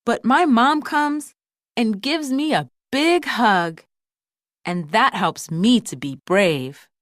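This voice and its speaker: Female narrator as caring cartoon girl doctor, explanatory, confident, expressive melody, dry recording. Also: fairly high pitch, takes it slowly, no echo, pitched above the performer's own voice. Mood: confiding. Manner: explanatory, reassuring.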